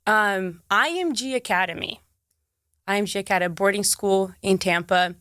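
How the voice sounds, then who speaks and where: A woman's voice: medium pitch, moderate pace, fairly bright tone, no echo. Young woman, Brazilian accent, bubbly, laughing, chatty interview guest, dry close sound. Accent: Brazilian Portuguese. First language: Portuguese. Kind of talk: casual interview chat.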